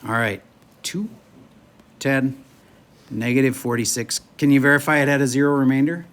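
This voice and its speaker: A man's voice: medium pitch, fairly even intonation, moderate pace, a bit bright. A male math teacher lecturing a classroom in a chatty, joking way while losing his voice. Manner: chatty, jokey, moves around the room.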